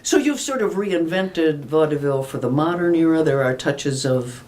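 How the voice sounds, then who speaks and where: A woman hosting a casual radio interview, chatty and laughing easily, her voice unusually low for a woman. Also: lively intonation, moderate pace, a little mellow. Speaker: radio show host.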